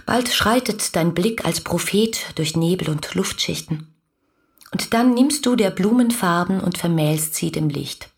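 An actress playing an ardent, lovestruck young woman who speaks her letters lyrically and fervently in a radio drama, with a husky voice. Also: on the low side, exaggerated voice. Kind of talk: literary radio drama monologue.

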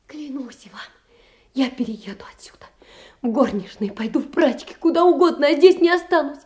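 An actress playing a distraught, proud, poor young woman in a theatrical audio drama, reproachful and emotional, swinging between outcry and breathy whisper. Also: high voice, lively intonation, moderate pace, darkish tone. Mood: indignant, desperate. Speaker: stage actress.

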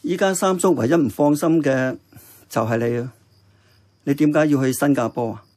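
An actor playing a kindly, contented older uncle in a Hong Kong Cantonese radio drama, chatty and reassuring. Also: male voice, fairly high pitch, normal speed, darkish tone, lightly acted. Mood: fond, reassuring.

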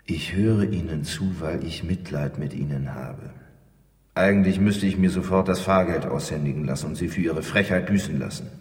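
An actor as a deep, scornful bodyguard. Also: male voice, moderate pace, a little mellow, close to an everyday voice. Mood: condescending. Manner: dismissive, sardonic, emphatic. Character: gruff, condescending male bodyguard, strong and scornful. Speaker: actor in a radio play. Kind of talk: theatrical German radio drama dialogue. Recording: echoey hall, distant from mic.

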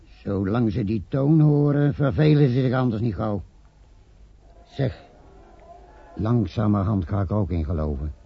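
Gravelly, gloomy pub regular. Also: male voice, fairly low pitch, a little slow, pauses often, exaggerated voice. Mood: weary. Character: gloomy, devout male bar customer. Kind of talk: Dutch radio play acting. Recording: dull, slightly noisy old radio recording.

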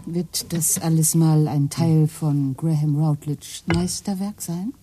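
A woman's voice: deep voice, fairly even intonation, slow, mellow, slight background noise. An actress playing a middle-aged wife in a radio play, dry and sarcastic with her husband.

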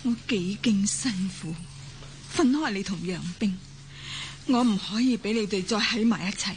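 A woman's voice: medium pitch, moderate pace, a little hiss or hum, close to an everyday voice. A woman playing an intense, bitter old grandmother in a Cantonese radio drama, with a Hong Kong accent. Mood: stern, determined. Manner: intense, melodramatic. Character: old grandmother, bitter, obsessive, desperate to part two lovers. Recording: music under the voice.

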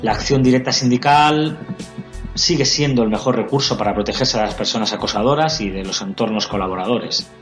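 A man explaining labour issues in a serious, expository style, as a union member answering questions. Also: mid-range voice. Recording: clear music bed behind the voice. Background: music.

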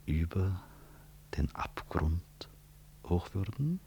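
An actor playing a proud, composed middle-aged physician and professor in a 1960s radio play, formal and measured, husky, with grave irony. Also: male voice, sits low, very animated, dark tone, full character voice. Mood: grave, ironic.

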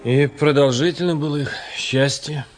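An actor playing an inquisitive, well-bred young traveller in a radio play, asking questions in a polite, detached way. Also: male voice, fairly high pitch, fairly even intonation, normal speed, soft background music, close to an everyday voice. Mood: curious. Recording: radio play with faint noise.

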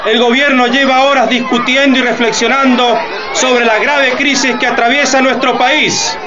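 An actor playing a president who gives an emphatic, impassioned political speech in a radio play, repeating phrases for weight. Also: male voice, extremely high pitch, level tone, normal speed. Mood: grave, solemn. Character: impassioned male president addressing a crowd from a balcony. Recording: muffled recording amid noisy crowd.

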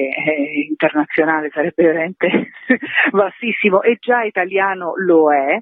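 A woman's voice: fairly low pitch, no echo. A woman author and actress chatting as a radio interview guest, emphatic and digressive in her explanations. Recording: tinny, narrow telephone line.

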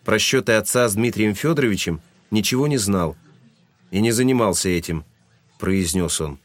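A man's voice: fairly low pitch, normal speed, no echo. A man narrating a literary audio drama in a scripted, dramatic storytelling style. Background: music.

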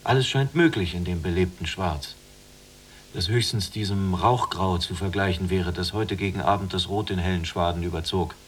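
A man plays a younger prisoner in a radio play, caring and dreamy. His fairly low voice moves in earnest, lyrical phrases over a clear hum. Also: normal speed, close to an everyday voice. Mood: dreamy, entranced. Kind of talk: literary radio drama acting.

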